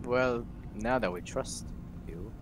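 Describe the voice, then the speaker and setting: A man playing an online game with friends, excitable and jokey, shouting at the action. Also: medium pitch, slow pace, gravelly. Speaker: fan player. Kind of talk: casual gaming chat.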